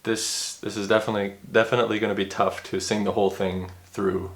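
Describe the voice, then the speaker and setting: Fairly low male vlogger, casual and self-deprecating, stumbling over restarts. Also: little pitch movement, fast talker, vocal fry. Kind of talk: casual vlog talk to camera. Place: room at home. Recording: roomy echo with faint music.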